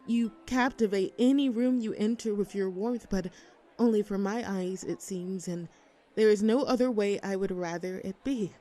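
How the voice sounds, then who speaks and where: A woman's voice: medium pitch, moderate pace, mellow, close to an everyday voice. A woman playing a young, teasing mean-girl student in a scripted audio roleplay, with a mocking, sarcastic, haughty manner. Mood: reading aloud.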